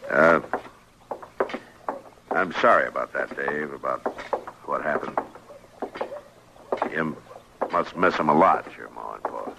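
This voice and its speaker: An actor playing a calm, kindly frontier marshal in an old-time radio western, speaking in a laconic, unhurried manner. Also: male voice, gravelly, exaggerated voice. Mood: gently sympathetic. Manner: laconic, unhurried, low-key. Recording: noisy vintage radio drama recording.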